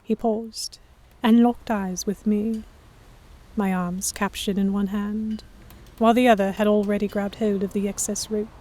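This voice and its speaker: A woman's voice: medium pitch, little pitch movement, unhurried, dark tone. A woman narrating an audio drama in the first person and acting out the dialogue. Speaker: narrator and lead actor.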